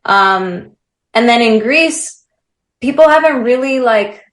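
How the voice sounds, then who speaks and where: A woman's voice: fairly high pitch, lively intonation, slow. A woman singer, a guest in a casual interview, chatting with frequent laughs and plenty of "like". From United States, raised partly in Crete. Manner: chatty, laughs often, lots of "like". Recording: echoey room.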